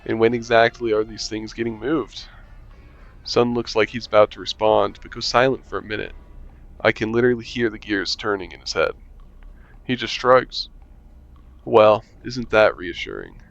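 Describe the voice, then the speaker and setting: A man reading a visual novel aloud as a gamer, voicing narration and the player in a relaxed, wry way and muttering while he plays. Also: on the low side, moderate pace, gravelly, pauses often, no room sound. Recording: lo-fi mic with faint music.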